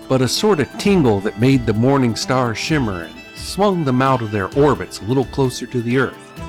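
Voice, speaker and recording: A man giving measured, poetic narration for an old-time Western radio play. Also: medium pitch. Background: guitar music.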